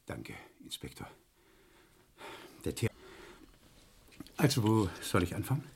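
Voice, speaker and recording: An actor playing a meek, nervous clerk in a German radio crime play, speaking haltingly and apologetically with a breathy, husky voice. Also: male voice, fairly low pitch, sing-song, darkish tone, slight background noise, exaggerated voice. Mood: nervous, hesitant. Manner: hesitant, halting, politely apologetic. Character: meek, nervous male clerk giving a police statement.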